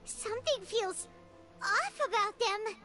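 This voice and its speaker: A woman voicing a small, childlike fairy companion in a video game, earnest and excitable, with a squeaky voice. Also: takes it slowly, heavily acted. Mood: alarmed. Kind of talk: cartoonish video game voice acting. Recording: music playing under the voice.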